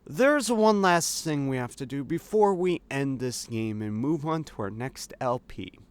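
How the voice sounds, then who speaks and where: A man's voice: medium pitch, expressive, a little slow, clean tone. A man giving casual, chatty let's play commentary in an informal, amused way as host of a video game series. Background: video game music.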